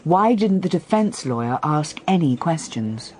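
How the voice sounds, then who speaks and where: A keen woman detective in a radio drama. Her voice sits on the low side and swings freely in pitch. She is impatient, quick-witted and always challenging. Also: big pitch swings, darkish tone, a little hiss or hum. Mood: eager, reasoning. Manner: eager, challenging, argumentative.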